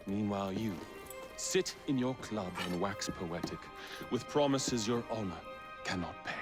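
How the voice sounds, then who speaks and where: An actor playing a haughty, menacing Victorian tycoon who speaks in a measured, sardonic, theatrical way. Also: male voice, fairly low pitch, expressive. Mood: cold, mocking. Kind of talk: video game cutscene acting. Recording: music behind the voice.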